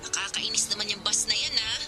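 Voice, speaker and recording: An actress in a Filipino radio drama playing a worried, protective older sister who questions and urges with concern. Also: female voice, medium pitch, a little fast, music in the background, natural voice.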